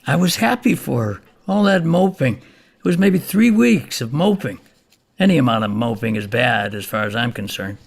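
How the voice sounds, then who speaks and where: A man's voice: medium pitch, big pitch swings, normal speed, vocal fry. An actor playing a chatty, folksy older neighbor who tells his story in a colloquial, easygoing way in an audio play. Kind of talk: theatrical monologue in an audio play.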